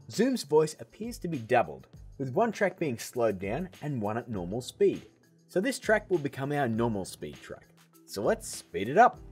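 A man hosting a software tutorial, explaining steps in a casual, upbeat way. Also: medium pitch, breathy edge. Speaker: video tutorial host.

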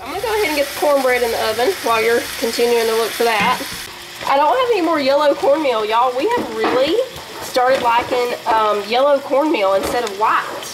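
A woman, chatting homey and relaxed to viewers while she cooks in her home kitchen. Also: a little high, brisk, background noise. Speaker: home cooking vlogger and mother. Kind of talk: casual cook-with-me narration.

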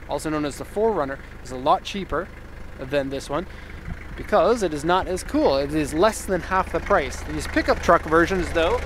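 An upbeat, enthusiastic man in his thirties talks casually while walking around a truck outdoors. His voice sits a little high, picked up on a handheld camera mic. Clear outdoor noise runs under everything he says. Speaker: car exporter reviewing a vehicle. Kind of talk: casual walkaround commentary. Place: outdoors in a park by a parked truck.

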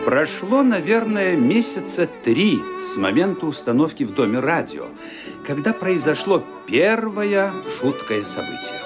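A man narrating a literary radio drama in an expressive storytelling manner, building suspense. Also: fairly high pitch, measured pace. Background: violin music.

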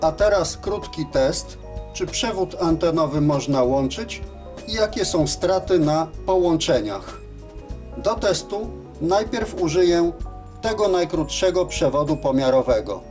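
A man's voice: fairly high pitch, lively intonation, a little slow, darkish tone, faint music behind. A man giving scripted, methodical and matter-of-fact instructional narration as the presenter of a technical tutorial.

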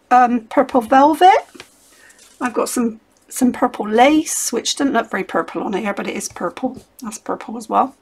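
Chatty woman with a slightly croaky voice. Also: fairly high pitch. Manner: chatty, rambling, thinks aloud with many ums. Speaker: craft vlogger. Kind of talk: casual crafting chat. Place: home craft table.

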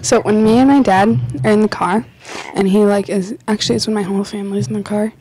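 A woman's voice: medium pitch, fairly even intonation, quick pace, background noise. A teenage girl chatting casually and rambling with friends, giggling often, at a desk mic in a small office studio. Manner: giggly, rambling with many likes. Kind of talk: casual chat among friends. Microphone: desk podcast mic with headphones.

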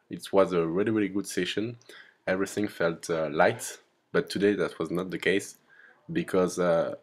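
A young man with a French accent talks through his own workout footage in a recorded voice-over. His voice sits very low, and he speaks haltingly, stopping often on fillers as he looks for words. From France. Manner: hesitant, many fillers and pauses. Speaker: lifter narrating his training video. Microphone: voice-over recorded apart from the gym footage.

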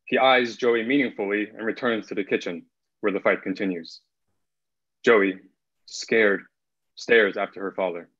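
A man's voice: fairly low pitch, fairly even intonation, measured pace, long pauses, a bit bright, breathy edge. A young man speaking as the narrator of a table read over a video call. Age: young adult. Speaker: narrator and actor. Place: plain room at home. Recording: dull video-call audio.

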